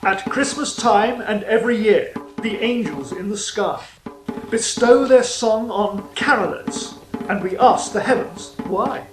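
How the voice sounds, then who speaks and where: A man's voice: high voice, a little slow, reverberant, over music. A male actor playing a pompous, put-upon royal poet, declaiming a theatrical monologue in a florid manner. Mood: florid reciting. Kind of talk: theatrical narrated monologue in verse and prose.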